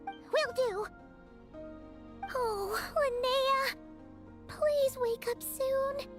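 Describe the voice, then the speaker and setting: Excitable, very high-pitched childlike companion. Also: female voice, very slow, faint music behind, heavily acted. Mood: relieved, worried. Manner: exclamatory, fretful. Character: small, excitable, childlike female companion, anxious and caring. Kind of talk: video game voice acting.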